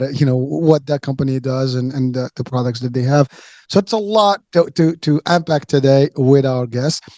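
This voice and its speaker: A man's voice: mid-range voice, dark tone, no room sound. Rambling man hosting a health show. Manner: loose and rambling, frequent restarts and fillers. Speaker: host. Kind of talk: casual radio show hosting.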